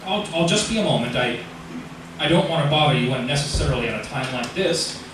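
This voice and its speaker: A courteous insurance agent acted by a man in old-time radio drama, slightly high in pitch, hesitant yet quietly probing. The recording rings with echo and has clear noise. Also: a little fast, natural voice. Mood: polite, hesitant. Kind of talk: 1940s radio acting. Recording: echoey, distant, noisy old radio recording.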